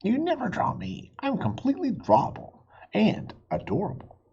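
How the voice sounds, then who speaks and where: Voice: medium pitch, huge pitch swings, moderate pace, warm tone, husky, lo-fi sound, pitched above the performer's own voice, full character voice. A reader voicing a small, cheeky, demanding male dog in a picture book read aloud. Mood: indignant, demanding.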